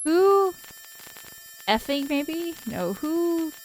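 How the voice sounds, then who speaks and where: A woman's voice: very high voice, very animated, drawn-out words, a little hiss or hum, low-quality recording. A woman streaming a visual novel, reading it aloud in a casual voice and chatting with informal, giggly asides. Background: game soundtrack music.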